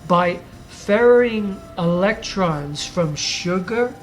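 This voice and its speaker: Guy hosting a podcast, raspy from the flu, talks real slow and deliberate, faint music underneath. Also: sits high, expressive. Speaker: podcast host. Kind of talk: scripted motivational podcast monologue.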